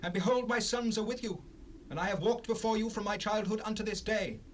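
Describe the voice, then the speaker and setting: Scripture drama, old grey-headed prophet male actor: high voice, stern oratory, distant sound on a noisy cassette rip. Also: steady tone, fairly quick, close to an everyday voice. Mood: solemn. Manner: solemn, declamatory, formal. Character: old grey-headed prophet, stern, righteous leader addressing his people.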